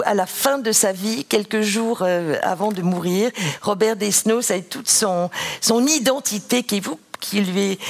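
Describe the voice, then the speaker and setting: A middle-aged woman, a novelist on a panel, telling a story in a lecture hall with many restarts, speaking into a headset mic. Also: fairly low pitch. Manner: animated, gesturing, many restarts. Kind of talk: storytelling in a public talk.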